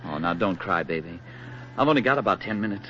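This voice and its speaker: An actor playing an affable, earnest young American soldier and sweetheart in a 1940s radio drama. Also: male voice, sits low, expressive, quick pace, sharp tone. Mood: urgent, loving. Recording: muffled, noisy 1940s radio recording.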